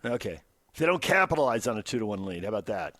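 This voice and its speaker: A man's voice: fairly low pitch, very animated, fast, a bit bright, no room sound, clean studio sound. An American man hosting a conversational sports talk radio show.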